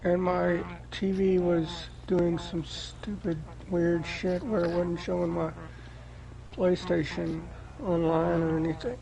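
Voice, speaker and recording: A man on game voice chat sounds hoarse and sick, like he is losing his voice. He talks in a slow, flat, gravelly ramble, his pitch sitting high for a man. A crowd murmurs in the background. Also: rough recording. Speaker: gaming friend on voice chat. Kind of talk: casual chat.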